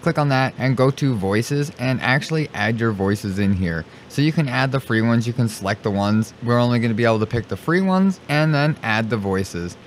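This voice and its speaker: A man talking through software steps in a casual, informal tutorial style, repeating himself as he narrates each click. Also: mid-range voice. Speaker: streamer giving a software tutorial. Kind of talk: casual step-by-step screen tutorial. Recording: clearly noisy home recording.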